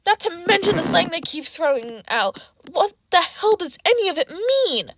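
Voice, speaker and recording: Woman voicing a frazzled, high-pitched young male teacher. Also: sing-song, background noise, heavily acted. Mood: exasperated ranting. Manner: fast rambling complaint.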